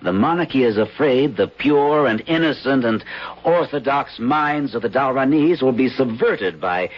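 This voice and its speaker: A man's voice: mid-range voice, lively intonation, moderate pace, lightly acted. An actor playing a stern, matter-of-fact male intelligence agent in a 1970s radio drama. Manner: curt, cool, matter-of-fact. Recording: muffled old radio broadcast.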